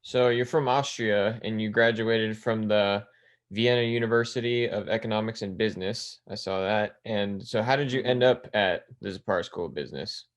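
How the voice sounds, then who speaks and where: A man hosting a school podcast, asking his guest plain, measured questions. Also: lowish voice. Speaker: podcast host. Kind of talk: interview questions.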